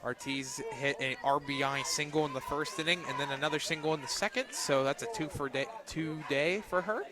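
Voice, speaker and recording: A young man doing live radio play-by-play of a softball game as the announcer. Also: a little high, fairly quick. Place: outdoors at a softball field. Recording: outdoor ballpark noise, crowd behind. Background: crowd and outdoor ambient noise.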